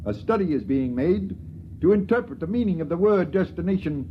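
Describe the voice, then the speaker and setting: A solemn, dogmatic priest of a starship from a vintage radio drama. His voice sits a little high and grandly proclaiming. The dull, lo-fi broadcast carries a light hiss. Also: animated, a little fast. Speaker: character in a 1950s radio play. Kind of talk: 1950s radio acting.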